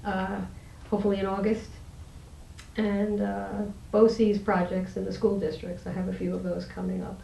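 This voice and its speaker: A woman's voice: mid-range voice, flat delivery, normal speed, gravelly, warm tone, slight background noise. A woman who is an interview guest, a poet and teacher, talking and reading her poetry aloud. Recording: roomy echo, distant microphone.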